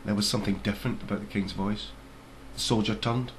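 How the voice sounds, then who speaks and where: A man's voice: lowish voice, fairly even intonation, brisk, fairly bright tone, poor audio. Man narrating storybook, faint background noise. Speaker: author reading own book.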